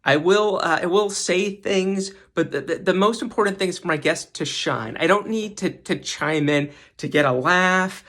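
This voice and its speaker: Bright forceful male voice, slightly high, podcast host, casual emphatic commentary, repeated words. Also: moderate pace, few pauses. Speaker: podcast host giving a guest segment.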